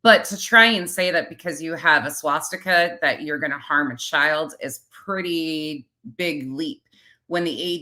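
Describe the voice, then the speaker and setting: Woman, deep pitch, sharp forceful tone, blunt, sarcastic, casual reaction commentary. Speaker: commentary channel host.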